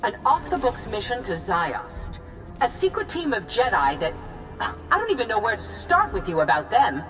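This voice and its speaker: An actress playing a stern, cold chancellor in a video game, formal, commanding and condescending. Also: female voice, fairly high pitch, sing-song, moderate pace. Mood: stern reproach. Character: imperious female government chancellor, stern and cold. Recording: long hall reverb, music behind.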